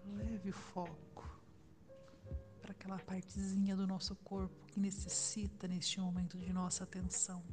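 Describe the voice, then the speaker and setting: A Brazilian woman guiding a relaxation meditation in a soft, soothing way, with long pauses and breathy phrase endings. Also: medium pitch, completely flat delivery. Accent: Brazilian Portuguese. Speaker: meditation guide. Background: background music.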